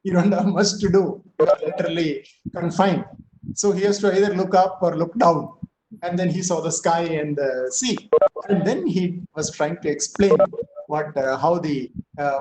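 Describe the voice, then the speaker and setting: Male lecturer, high voice, relaxed and jokey teaching, echoey room. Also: background noise. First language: not Tamil. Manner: chatty, joking asides with filler pauses. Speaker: physics professor. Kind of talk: online lecture.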